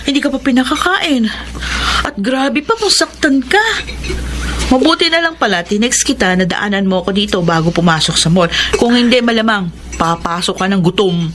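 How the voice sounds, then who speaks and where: An actress playing a caring, outspoken young friend in a Filipino radio drama, sympathetic and insistent. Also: female voice, on the high side, lively intonation, normal speed, noisy background, close to an everyday voice. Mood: concerned, sympathetic.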